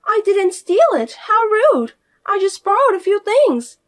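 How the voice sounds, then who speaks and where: A woman voicing a spoiled, arrogant sister-in-law who talks down to everyone in a shrill, scolding way. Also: big pitch swings, a little slow, heavily acted. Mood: indignant. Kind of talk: audio story voice acting.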